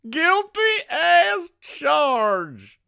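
A woman's voice: high-pitched, big pitch swings, drawn-out words, gravelly, pushed and bright, heavily acted. A woman playing a doting stage mother in a comedy sketch, effusive and full of pet names for her child. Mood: gushing.

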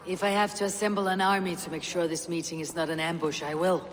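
A woman's voice: lowish voice, little pitch movement, fast, in a large hall, slight background noise, close to an everyday voice. A woman playing a stern, blunt young Viking warrior in a video game, speaking in a terse, dry, guarded manner. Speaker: actor in a video game cutscene. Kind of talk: video game drama acting.

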